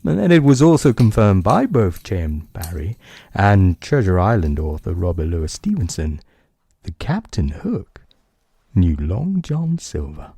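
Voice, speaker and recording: A man hosting a storytelling podcast, narrating from a script in bedtime-story style with dramatic pauses and savoured phrasing. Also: low voice, sing-song, gravelly, mellow, husky, no echo, studio quality.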